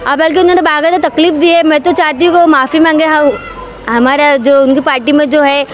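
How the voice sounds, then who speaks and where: A woman's voice: high voice, steady tone, fairly quick. A woman giving an unscripted, impassioned political statement, admonishing and repeating her appeals insistently.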